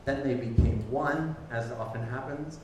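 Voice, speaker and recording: A man's voice: mid-range voice, measured pace, a little mellow. A rambling man opens a community talk night in a bar's back room. His talk is loose and friendly and full of ums. He sounds far from the mic in a very echoey room with a faint hiss behind him. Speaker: event host. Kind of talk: opening remarks at a live community event. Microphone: handheld microphone from a distance.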